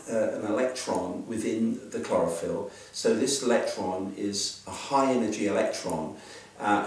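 Older male scientist, low gravelly voice, halting delivery, roomy echo, set back from the mic. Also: recorded from a distance. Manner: halting, many fillers and restarts. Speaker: scientist interviewee. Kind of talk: explaining to an interviewer.

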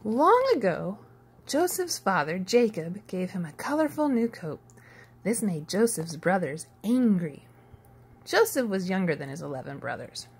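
A woman reads a children's storybook aloud. Her pitch moves in a lively, animated way as she tells the tale, and her voice has a slightly husky edge. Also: unhurried. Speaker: storybook reader. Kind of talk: expressive read-aloud of a children's picture book.

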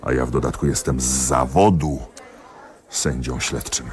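A man acting in a radio play as a cynical, jealous middle-aged examining magistrate who speaks emphatically and haltingly. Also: extremely low pitch, steady tone, darkish tone. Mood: agitated confession. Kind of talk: theatrical radio drama dialogue.

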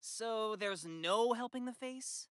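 Woman voicing a high, cheeky cartoon boy. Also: takes it slowly, clean tone, no room sound, studio quality. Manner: melodramatic, flippant. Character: cheeky, panicky, insufferable young boy. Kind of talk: animated comedy character acting.

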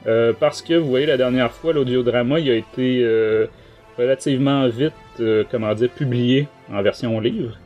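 A man's voice: mid-range voice, normal speed, dull audio, soft background music. A man with a Quebec French accent hosting a casual book talk podcast, speaking in hesitant, broken-up phrases. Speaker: podcast host.

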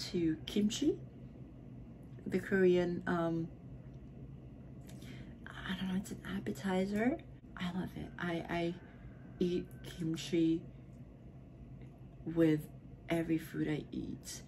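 A woman's voice: on the low side, darkish tone, a little hiss or hum, low-quality recording. A young woman, drunk, answering viewer questions in a casual home vlog, slurring, giggling and slipping into whispers. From Philippines. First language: Filipino (Tagalog). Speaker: vlogger. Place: bedroom at home, indoors.

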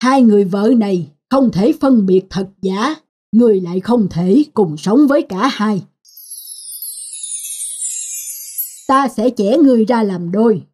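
Nữ diễn viên vào vai nữ thần tình yêu trầm ấm, hơi khàn. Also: female voice, mid-range voice, moderate pace, darkish tone, husky, lightly acted. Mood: grave. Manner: solemn, admonishing. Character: stern, wise female goddess of love. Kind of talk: Vietnamese cartoon dialogue.

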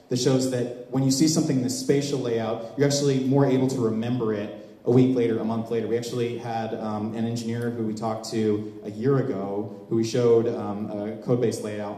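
A man giving a live demo talk to an audience as a software presenter, explaining in a halting way. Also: mid-range voice. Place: large open indoor event space, on a small stage before a seated audience. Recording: echoey hall with long reverb.

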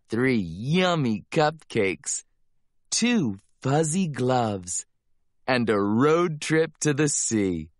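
A man reading a rhyming children's picture book aloud as narrator, with a playful, drawn-out delivery. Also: medium pitch, no room sound, clean studio sound. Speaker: storybook narrator.

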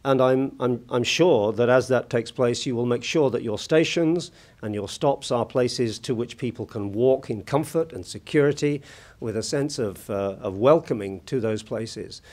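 British guy, husky voice, conference keynote at a lectern mic, lots of uhs, measured. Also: medium pitch, a little mellow. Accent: British English. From United Kingdom. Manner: measured, hesitant with many "uh" fillers. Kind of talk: formal conference talk. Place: large conference hall at a lectern. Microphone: lectern microphone.

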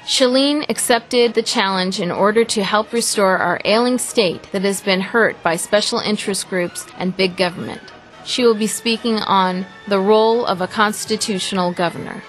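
A woman reading a scripted promotional introduction in a measured, formal way. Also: medium pitch, rough recording. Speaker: narrator. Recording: music bed underneath.

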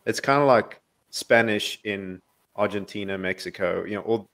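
A man's voice: lowish voice, moderate pace. A man from South Africa talking about languages in an easygoing, enthusiastic way on a video call. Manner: relaxed, enthusiastic storytelling. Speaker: podcast guest. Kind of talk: casual video call chat.

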